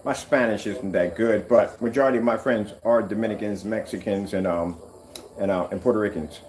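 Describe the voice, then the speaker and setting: A middle-aged man chatting casually while working in a workshop, wandering off topic with animated bursts. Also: fairly low pitch, fairly quick. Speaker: screen paint maker.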